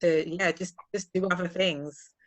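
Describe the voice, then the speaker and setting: A woman's voice: on the low side, fairly even intonation, measured pace, dry recording. A woman chatting casually as a podcast co-host, thinking aloud as she explains her own experiences step by step. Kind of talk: casual conversation, personal anecdotes.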